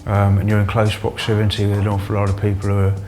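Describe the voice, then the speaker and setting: A man giving unscripted interview testimony, halting and repeating words as he goes. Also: fairly low pitch, monotone, mellow, soft background music. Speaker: interviewee, former detained patient.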